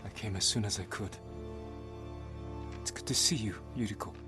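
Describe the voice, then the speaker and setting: An actor playing a weary young samurai lord in a video game, solemn, courteous and measured, with music behind him. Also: male voice, lowish voice, natural voice. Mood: restrained, weary. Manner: measured, restrained, terse. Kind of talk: cinematic video game acting.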